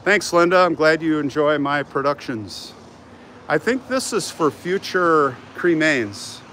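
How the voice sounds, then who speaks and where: A man's voice: fairly high pitch, lively intonation, a little slow, sharp tone. An older man with an American accent chatting casually to live-stream viewers, hopping between remarks as he walks. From United States. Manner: chatty, jumping between remarks while walking. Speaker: vlog host. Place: outdoors in a large open cemetery. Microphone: handheld phone at arm's length. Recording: noisy outdoor urban ambience.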